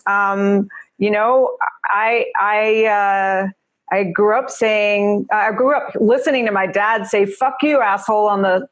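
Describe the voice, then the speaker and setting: A woman with a New Jersey accent, a mindfulness teacher chatting as a podcast guest, laughing often and talking with many fillers. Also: mid-range voice. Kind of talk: casual podcast interview.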